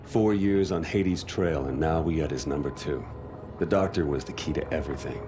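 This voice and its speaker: A man's voice: a bass voice, normal speed, over music. An actor playing a reflective soldier who tells his story in grave first-person narration in a game.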